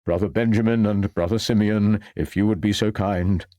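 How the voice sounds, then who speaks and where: A man's voice: lowish voice, steady tone, moderate pace, warm tone, no echo, close to an everyday voice. Reluctant storybook undertaker, acted by a male reader, formal, deferential, dryly recorded. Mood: reluctant, solemn.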